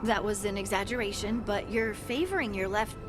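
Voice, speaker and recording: A woman's voice: medium pitch, normal speed, close to an everyday voice. A woman voicing a small, caring female scout in a fantasy video game, earnest and friendly. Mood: concerned, teasing. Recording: music behind the voice.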